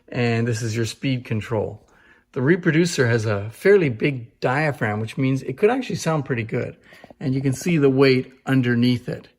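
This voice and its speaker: A man giving a casual, explanatory demonstration as a presenter showing off antique machines. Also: medium pitch. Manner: informal, explanatory. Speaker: presenter showcasing antique phonographs. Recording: echoey room.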